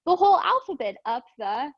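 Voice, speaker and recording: A woman teacher reading aloud to young children in an expressive, sing-song, encouraging way. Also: high-pitched, no room sound, lo-fi sound. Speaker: preschool teacher reading storytime.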